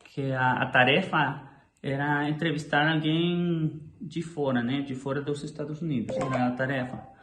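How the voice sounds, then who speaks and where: A man from Guatemala whose first language is Spanish, a missionary speaking in halting sentences with many restarts. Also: fairly high pitch, steady tone, fairly bright tone, faint music behind. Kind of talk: casual personal testimony. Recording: echoey room.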